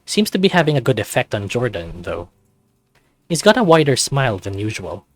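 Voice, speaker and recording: A man reading visual novel narration aloud in an expressive scripted style. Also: medium pitch, a little fast. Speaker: visual novel let's play reader.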